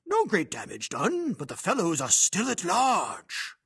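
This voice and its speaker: A man's voice: high voice, huge pitch swings, a little slow, pushed and bright, slightly rough, voice pushed up, exaggerated voice. A man reading an audiobook voices a hearty, chatty old retired army colonel. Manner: bluff, chatty, exclamatory. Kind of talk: audiobook character voice.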